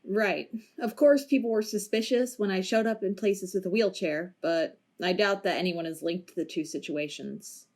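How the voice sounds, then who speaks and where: A woman's voice: medium pitch, normal speed, few pauses, darkish tone, natural voice. A woman playing a retired policewoman in a wheelchair, dry and matter-of-fact in an amateur audio drama. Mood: wry. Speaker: narrator and lead character.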